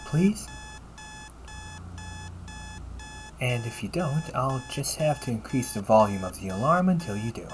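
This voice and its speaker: A man voicing an upbeat, teasing AI companion in an audio roleplay, polite and fussily helpful, with a gently nagging manner. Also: mid-range voice, expressive, moderate pace, slight background noise, close to an everyday voice. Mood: gently insistent. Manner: polite, chirpy, gently nagging, butler-like phrasing. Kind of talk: scripted audio roleplay addressed to the listener.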